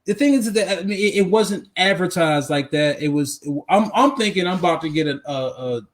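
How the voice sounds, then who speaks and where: A man co-hosting a podcast in casual movie chat, animated and emphatic, often repeating himself for effect. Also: high-pitched, moderate pace. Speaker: podcast co-host.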